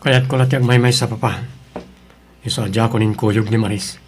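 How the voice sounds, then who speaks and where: A man's voice: fairly low pitch, steady tone, normal speed, natural voice. A man with a Filipino accent playing a troubled, stubborn husband in an Ilocano radio drama. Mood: defensive, stubborn. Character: troubled, stubborn young husband, fallen out of love.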